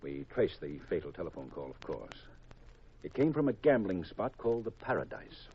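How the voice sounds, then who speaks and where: An actor playing a grave, secretive government intelligence chief in a 1950s radio drama, deliberate and confidential on a vintage recording. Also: male voice, deep voice, big pitch swings, slightly rough, highs cut off, heavily acted. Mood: grave, instructing.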